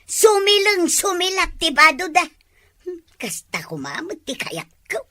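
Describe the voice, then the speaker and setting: A woman with an Ilocano accent, high and bright, chatting and teasing in a village comedy skit. She talks freely and gossips. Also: wildly swooping pitch, a little slow, dry recording.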